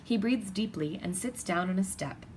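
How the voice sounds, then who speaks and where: A woman's voice: medium pitch, normal speed, a little mellow, rough recording. Woman reading aloud, with background noise. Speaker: storybook reader. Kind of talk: children's picture-book read-aloud.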